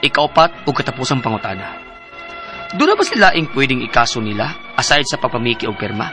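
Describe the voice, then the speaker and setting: A man's voice: medium pitch, very animated, fairly quick, pushed and bright, soft background music. An actor with a Cebuano Filipino accent playing a worried, earnest husband in his thirties who seeks advice in a radio drama. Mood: worried, pleading. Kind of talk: radio drama acting and letter narration.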